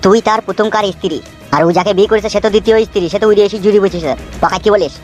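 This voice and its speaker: A man's voice: sits high, racing, soft background music, close to an everyday voice. A man voicing a scheming know-it-all young boss in a comedy cartoon, explaining and persuading.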